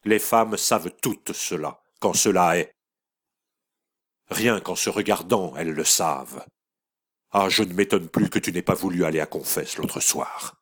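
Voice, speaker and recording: A man's voice: sits low, unhurried, fairly bright tone, husky, heavily acted. A man reading an audiobook voices a harsh, imperious mother turned accuser, speaking in a cold, relentless, commanding way. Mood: accusing.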